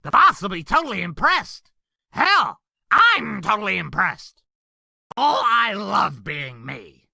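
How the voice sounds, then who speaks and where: A man's voice: high voice, very animated, slow, creaky, sharp tone, no room sound, studio quality, full character voice. A man voicing a gleeful, sadistic male demon clown who boasts with gory bravado in a fan comic dub. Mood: boastful.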